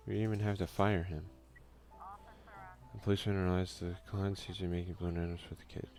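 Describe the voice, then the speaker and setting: Man, deep voice, gravelly fry, soft-spoken casual gaming commentary, muttered asides. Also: little pitch movement, fairly quick, faint music behind. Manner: reads game text aloud, mutters offhand asides. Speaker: let's play host.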